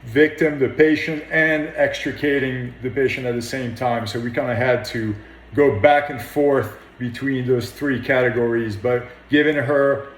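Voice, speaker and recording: A man's voice: mid-range voice, fairly even intonation, a little slow. A man, a firefighter, giving a matter-of-fact, modest account of his work in an interview. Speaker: firefighter officer. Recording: echoey room sound.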